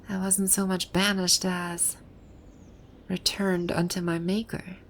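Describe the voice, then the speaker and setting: A woman's voice: fairly low pitch, a little hiss or hum. A woman voicing a haughty, bossy video-game villainess who stammers and halts when flustered, in an ASMR roleplay. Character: haughty, flustered female video-game boss brought to life. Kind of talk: ASMR roleplay audio drama.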